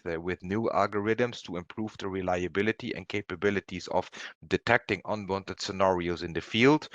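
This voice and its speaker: A man with a low voice gives a product presentation for a company. He explains step by step in a halting manner, and the sound is dry and close. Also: fairly bright tone, no echo.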